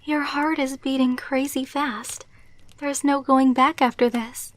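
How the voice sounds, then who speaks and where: A woman voicing a tender, devoted vampire girlfriend in an ASMR roleplay, speaking softly and intimately, often near a whisper, close to the mic. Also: high-pitched, fairly even intonation, unhurried. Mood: tender, patient. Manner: soft, intimate, breathy delivery, often dropping to whispers. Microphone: close microphone, dry.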